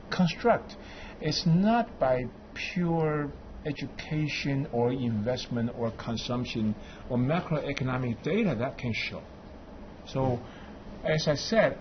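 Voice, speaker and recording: Lively, emphatic man on a panel. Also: medium pitch, dull audio, slight background noise. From Beijing. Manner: animated, emphatic, lists points. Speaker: panel guest. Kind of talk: studio panel discussion.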